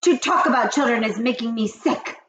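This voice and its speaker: A woman voicing the Grand High Witch, an imperious, shrieking female witch leader who drags out her commands. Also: big pitch swings, a bit bright, roomy sound, full character voice. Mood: gloating. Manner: slow, drawn-out, bellowing commands. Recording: dull lo-fi recording.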